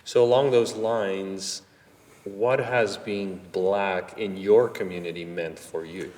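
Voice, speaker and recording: A man hosts an interview and asks his questions in a friendly, plain way. His voice sits a little low. The room carries a long, ringing reverb. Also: fairly even intonation, takes it slowly, noisy background. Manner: friendly, brief affirming murmurs.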